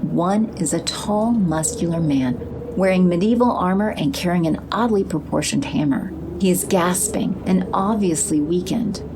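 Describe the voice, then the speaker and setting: Woman narrator for audio drama, kinda low voice, noisy ambience behind. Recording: clear background noise, sound effects.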